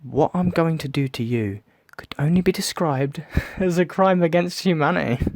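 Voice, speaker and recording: A man's voice: a little high, normal speed, darkish tone, dry recording, studio quality, lightly acted. A man playing a menacing, grandiose villain in an audio drama, gloating and taunting with theatrical cruelty. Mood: gleeful threat. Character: menacing theatrical male villain lurking in the shadows, gloating sadist.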